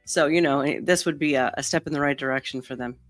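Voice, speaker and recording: A man's voice: on the high side, quick pace, fairly bright tone, dry recording, soft background music. A man co-hosting a live talk show, joking and bantering with frequent restarts. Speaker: co-host. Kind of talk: casual chat.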